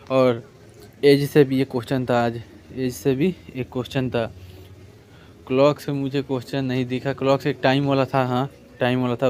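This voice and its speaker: A man's voice: medium pitch, darkish tone. A man giving an informal exam review lesson, explaining in a colloquial, repetitive way as a coaching teacher. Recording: clear background noise.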